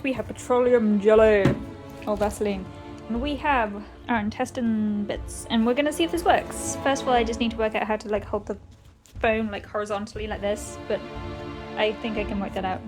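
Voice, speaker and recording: High-voiced woman vlogging in a roomy space. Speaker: video creator narrating own project. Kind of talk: casual vlog narration. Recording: roomy echo, music behind. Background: background music.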